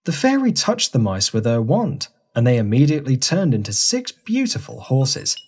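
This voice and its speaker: Animated storybook narrator. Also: mid-range voice, normal speed, breathy edge, soft background music. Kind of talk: scripted children's storybook narration.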